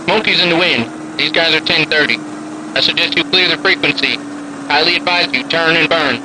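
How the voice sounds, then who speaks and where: A terse trucker on CB radio in an audio drama. His voice is fairly high for a man and filtered by the radio. Noise is clear throughout the transmission. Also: a little fast. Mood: urgent warning. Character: gruff, warning male trucker on CB radio.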